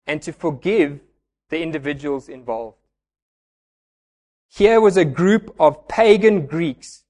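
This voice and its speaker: Male pastor, high voice, earnest explanatory sermon delivery. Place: church.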